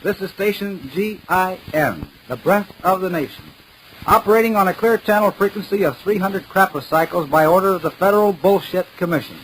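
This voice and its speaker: A man's voice: high voice, normal speed, a bit bright, a little hiss or hum, low-quality recording. A man playing a pompous, mock-serious radio announcer giving an oratorical comedy speech in 1940s style on an old 78rpm record. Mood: mock-solemn.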